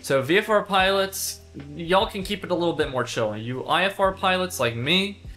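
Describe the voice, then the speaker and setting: A man's voice: high voice, expressive, normal speed, bright, forceful tone, faint music behind. A young man giving a casual, conversational instructional talk for a video, stressing his key points in an informal way. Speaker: instructor, video host and pilot.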